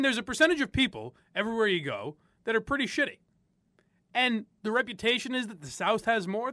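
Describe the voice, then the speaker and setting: Guy on talk radio, high animated voice, bright, dry studio sound. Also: dry recording. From Boston area. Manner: animated, laughing, ranting. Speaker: sports radio host.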